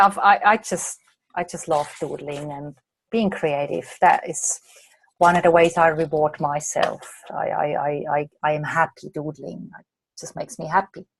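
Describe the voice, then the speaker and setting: A slightly hoarse woman from Australia, a coach answering viewer questions in a chatty way during a live online masterclass late at night. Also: fairly low pitch. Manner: chatty, reads out viewer comments and answers them. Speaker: coach and presenter.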